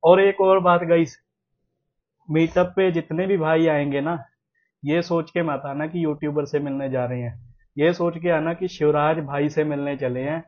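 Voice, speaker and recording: A man's voice: on the high side, steady tone, unhurried. Chatty young man, thin phone-like sound. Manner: chatty, repeats himself, sprinkles English words. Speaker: vlogger host. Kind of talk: casual chat to viewers. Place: small room at home, indoors.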